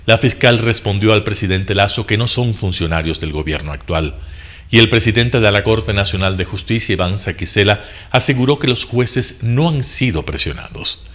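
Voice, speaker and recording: Low-voiced man reading a formal editorial. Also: expressive, normal speed, fairly bright tone. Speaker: news commentator. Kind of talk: scripted editorial commentary.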